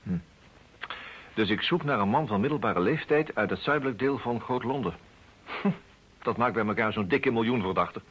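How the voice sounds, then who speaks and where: An actor playing a brisk, charming private detective in an old radio play. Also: male voice, mid-range voice, slightly rough, lightly acted. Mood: inquisitive. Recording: dull, muffled old radio recording.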